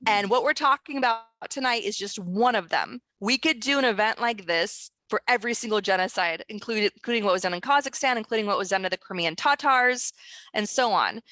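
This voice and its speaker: A woman author and screenwriter speaking as a guest in an online discussion, talking in an earnest, urgent way with quick restarts. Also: mid-range voice, pushed and bright, dry recording. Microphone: video call.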